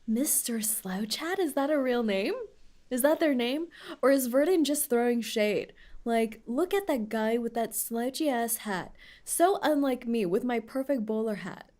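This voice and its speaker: Playful high-voiced woman streamer. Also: expressive, unhurried, a little mellow. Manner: playful, giggly, reacts to game dialogue. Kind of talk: casual gameplay commentary.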